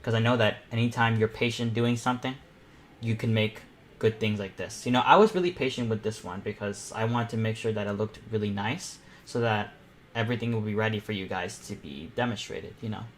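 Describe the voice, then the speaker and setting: A man's voice: lowish voice, brisk. Young man chatting, noisy background. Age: teens or young adult. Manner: rambling, thinking aloud. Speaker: artist hosting an online painting panel. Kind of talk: casual chat while drawing. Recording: clear background noise, room ambience.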